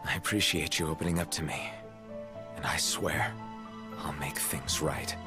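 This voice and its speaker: Casting: young game king, very low male voice, sincere and understated, reassuring his comrades, loud score underneath. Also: measured pace. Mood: earnest, reassuring. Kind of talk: video game voice acting. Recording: loud music under the dialogue.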